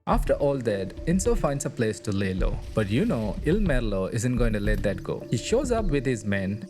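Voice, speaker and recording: A man's voice: mid-range voice, sing-song, normal speed. A man whose first language is not English, casually retelling a game's story as a video narrator. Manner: chatty, informal retelling. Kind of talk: casual game story recap. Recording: voice-over with loud game music underneath.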